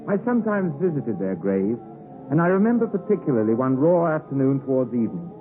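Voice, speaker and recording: An actor playing a literate gentleman recalling his boyhood, in dramatic first-person narration for 1950s radio drama. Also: mid-range voice, big pitch swings, fairly quick, natural voice. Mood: ominous. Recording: tinny 1950s radio broadcast with music.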